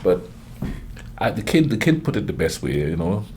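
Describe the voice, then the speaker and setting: Casual Caribbean-accented host, very low voice, rambling conversational delivery, faint background hiss. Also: male voice, sing-song, normal speed, a little mellow. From Grenada. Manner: rambling, chatty, many fillers and restarts. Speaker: reaction video host. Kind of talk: casual chat reacting to clips.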